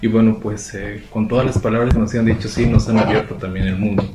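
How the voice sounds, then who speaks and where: A man's voice: fairly low pitch, steady tone, fast, heavy background noise. A man hosting a conversational interview who adds brief, supportive interjections to his guests' answers. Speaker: interviewer host. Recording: echoey room, off-mic.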